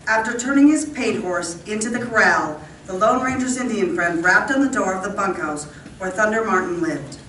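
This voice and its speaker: A woman's voice: medium pitch, animated, moderate pace, noisy background, lightly acted. A woman reading scripted narration for an old-time radio western drama. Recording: echoey room from far off.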